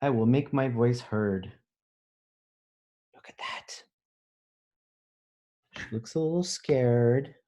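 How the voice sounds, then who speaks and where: A man reads a children's picture book in a slow, deliberate way. He puts expression into the lines, then steps out of the text to explain things simply, as a teacher does with young pupils. His voice sits at an ordinary male pitch. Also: mellow. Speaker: elementary school teacher reading aloud.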